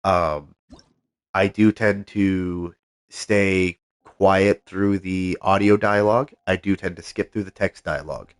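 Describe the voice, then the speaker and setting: A man's voice: low-pitched, steady tone, slow pace, smooth, dry recording, studio quality. A man streaming a video game live, talking casually with a stuffed-up cold voice and lots of ums as he reads out the game's menus. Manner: soft-spoken, many ums, reads game text aloud.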